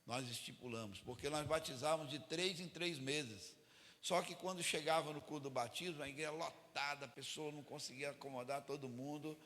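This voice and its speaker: A man's voice: fairly high pitch, fairly quick, a bit bright. A Brazilian man, an evangelical pastor, preaching informally to church leaders in a colloquial way, often seeking agreement from his listeners. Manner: colloquial, asks for amens and confirmation. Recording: echoey hall with long reverb.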